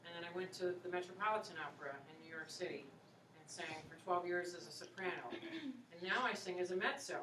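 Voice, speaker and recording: A woman's voice: lowish voice, a little fast, crowd noise. A woman in her forties, an opera singer and voice teacher, chatting in an encouraging way with students and taking their questions. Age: 40s. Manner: chatty, encouraging, many "you know"s. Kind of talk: casual talk and Q&A with students. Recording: echoey room, far from mic, faint hiss.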